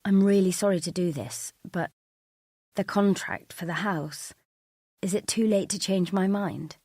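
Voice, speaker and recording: A woman voicing an anxious, apologetic and easily overwhelmed woman in an audiobook story, pleading and halting. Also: medium pitch, mellow, dry recording, studio quality, voice pushed up. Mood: apologetic, hesitant. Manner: pleading, halting, self-excusing.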